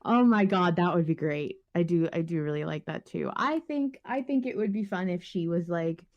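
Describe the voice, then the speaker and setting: A woman's voice: lowish voice, expressive, normal speed, a little mellow, no echo, highs cut off. A woman hosting a casual podcast discussion, chatting informally with her guests. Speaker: podcast host.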